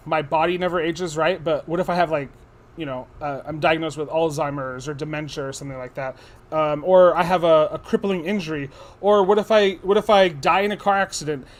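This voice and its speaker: A man in his thirties co-hosting a casual chat, talking things through at length with many restarts. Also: a little high. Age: 30s. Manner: rambling, thoughtful, many restarts. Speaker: co-host.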